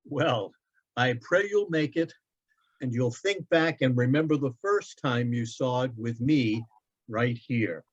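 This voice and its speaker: Man playing a gentle, slow-spoken wise father. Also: medium pitch, husky. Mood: affectionate. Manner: warm, reverent, deliberate. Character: gentle, wise father teaching his young son. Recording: dull, lo-fi online event audio.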